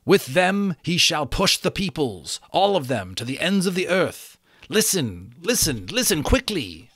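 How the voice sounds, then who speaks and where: A man voicing a flighty, whimsical male butterfly who sings and recites in a drawn-out, theatrical way. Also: on the high side, very animated, a bit bright, husky, dry recording, clean studio sound, pitched above the performer's own voice, heavily acted. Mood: urgent. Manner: sing-song, drawn-out, theatrical.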